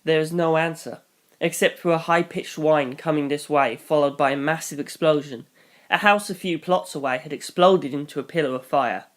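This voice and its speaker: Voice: mid-range voice, normal speed. A reader narrating a first-person action story in a storytelling style. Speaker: audiobook reader.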